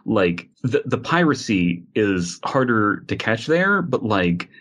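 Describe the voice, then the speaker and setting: A man's voice: lowish voice, sing-song, takes it slowly, a bit bright. A man co-hosting a podcast, talking casually in a rambling, emphatic and opinionated way. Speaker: podcast co-host.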